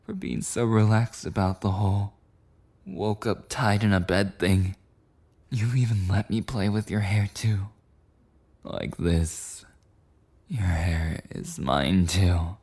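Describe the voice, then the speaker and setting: A man's voice: low-pitched, fairly even intonation, slow pace, a little mellow, slightly rough, heavily acted. A man playing a clingy, possessive yandere boyfriend in an intimate ASMR roleplay monologue, rambling and cooing tenderly with lapses into whispers. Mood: adoring, grateful.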